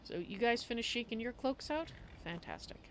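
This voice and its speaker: A woman voicing a warm-hearted Khajiit cat-woman adventurer in a video game roleplay, speaking of herself in the third person. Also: a little high, expressive, normal speed, slight background noise. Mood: cheerful. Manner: refers to self in third person. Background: game rain ambience.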